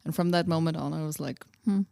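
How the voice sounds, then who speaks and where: A woman speaks in a low register with plenty of creak. Her delivery is informal and a bit hesitant, like a musician chatting in a casual interview. The recording is close and dry. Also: quick pace, mellow, no room sound. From Austria. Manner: laughs easily, hesitant and informal.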